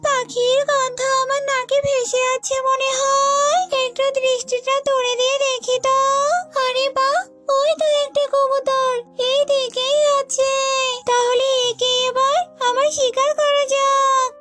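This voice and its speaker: A woman voicing a frightening, predatory bird-eating witch in a cartoon, gloating with drawn-out menace. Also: very high-pitched, level tone, loud music behind the voice, voice pushed up. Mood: gloating, hungry. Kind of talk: cartoon villain voice acting.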